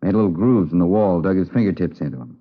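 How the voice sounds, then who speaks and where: An actor playing a brisk, matter-of-fact American police detective in an old radio crime drama. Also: male voice, deep voice. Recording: muffled vintage radio recording.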